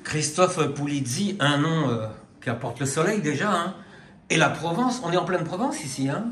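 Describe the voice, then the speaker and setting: A man's voice: on the high side, lively intonation, normal speed, fairly bright tone, slight background noise. A man interviewing a chef, asking questions in a chatty, upbeat way. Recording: roomy echo.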